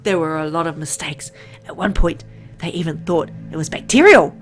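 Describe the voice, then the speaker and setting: A woman's voice: low voice, animated, normal speed, faint music behind. A woman voicing a frank, secretive medical insider in a visual novel, explaining in an earnest, conspiratorial way. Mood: frank, urgent.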